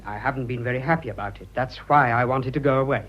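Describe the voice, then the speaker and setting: Actor as a brisk, cool English lover. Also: male voice, mid-range voice, a bit bright, lo-fi sound, a little hiss or hum, close to an everyday voice. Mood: cool, contrite. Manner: restrained, courteous, matter-of-fact. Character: cool, polite young English lover, frank, unapologetic. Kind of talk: British radio drama acting.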